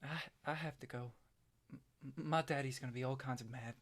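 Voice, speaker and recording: A man playing a shy, awkward young farm boy in a script reading, quiet and stammering, heard at a distance from the mic. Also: medium pitch, fairly even intonation, a little fast, lightly acted. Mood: nervous, shy.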